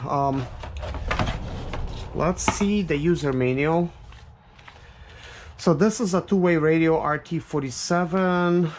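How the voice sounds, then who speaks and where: Casual man thinking aloud over background noise. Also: a little high. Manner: thinks aloud, hesitant, informal. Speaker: product reviewer and vlog host. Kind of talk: casual hands-on unboxing commentary. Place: office. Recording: clear background noise with clicks.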